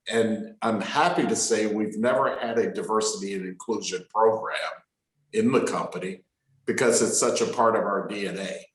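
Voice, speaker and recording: Low-voiced man in his sixties, echoey room. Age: 60s. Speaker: company owner and panelist. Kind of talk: online panel discussion.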